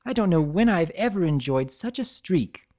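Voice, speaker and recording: A narrator puts on a refined lady unicorn from a cartoon fan story. The voice is lifted high, proper and formal. This proud dressmaker is easily flustered and very ladylike. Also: animated, moderate pace, darkish tone, lightly acted. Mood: breezy.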